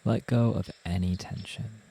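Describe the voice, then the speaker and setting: A man narrating a guided meditation as the guide, in a soothing, gentle manner with unhurried instructions. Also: lowish voice, steady tone, slight background noise.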